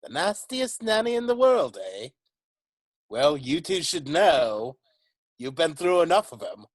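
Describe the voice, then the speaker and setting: A man's voice: fairly high pitch, sing-song, measured pace, dry recording. A man playing a cheerful, kindly London chimney sweep in an amateur musical read-through, folksy and reassuring. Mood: cheerful, friendly.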